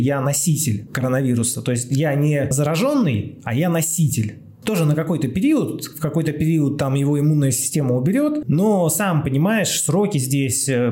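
A man's voice: on the high side, dark tone. A man hosting a casual, improvised podcast in a rambling, blunt way, repeating words for emphasis. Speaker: podcast host, doctor.

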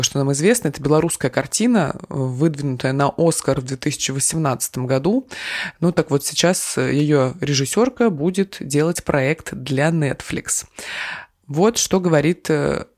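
Woman, from Krasnoyarsk, podcast news reader, husky voice, dry recording. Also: low-pitched, no echo. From Krasnoyarsk, Russia. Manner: even, matter-of-fact news reading. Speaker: co-host of a morning news podcast. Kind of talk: reading news items and chatting.

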